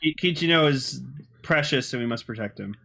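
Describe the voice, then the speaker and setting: A man's voice: medium pitch, lively intonation, a little fast, pushed and bright. A man co-hosting a podcast in casual chat. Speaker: podcast co-host.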